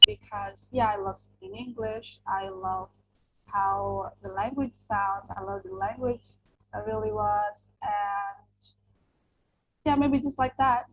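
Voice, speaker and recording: A woman's voice: mid-range voice, slight background noise, rough recording. A young adult woman from Indonesia, an English teacher whose first language is Indonesian, answering interview questions earnestly with many fillers and restarts.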